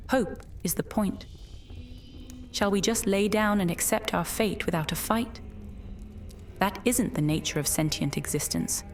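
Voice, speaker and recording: A woman's voice: fairly low pitch, lightly acted. A woman playing an ancient witch in a fantasy audio drama, speaking in an earnest, portentous and formal way. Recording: music under the voice.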